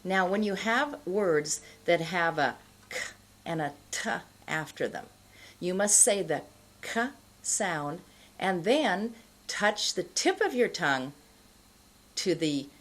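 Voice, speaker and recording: A middle-aged woman giving an instructional pronunciation lesson to camera, enunciating deliberately and carefully. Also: on the low side, lively intonation. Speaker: pronunciation teacher.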